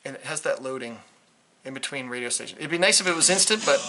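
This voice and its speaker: A man's voice: medium pitch, animated, quick pace, over loud music. A man giving a casual, unscripted product review, rambling and hesitant, often trailing off mid-thought. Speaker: hobbyist video reviewer.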